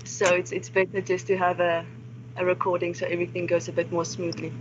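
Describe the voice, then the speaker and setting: A woman's voice: on the low side, completely flat delivery, fairly quick. A young woman from South Africa, a wildlife research assistant, giving a friendly, informal online seminar talk. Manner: friendly, informal, with some restarts. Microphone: video call. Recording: slightly dull online call audio with faint noise.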